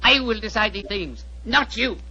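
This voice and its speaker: An actor playing a haughty Chinese emissary, barking curt commands in 1940s radio drama style. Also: male voice, high voice, normal speed, bright, forceful tone, slight background noise. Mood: imperious. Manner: barking, commanding, clipped phrases. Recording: muffled 1940s radio recording.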